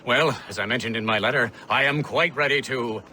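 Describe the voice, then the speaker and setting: A man playing an eager, chatty gentleman scientist in a video game, speaking with enthusiasm. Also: mid-range voice, brisk, slight background noise.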